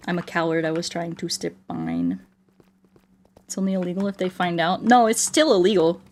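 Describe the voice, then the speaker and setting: A woman's voice: lowish voice, expressive, normal speed, a little mellow, slight background noise. A woman livestreamer in casual, playful, rambling chat, answering her viewers aloud as she plays a game.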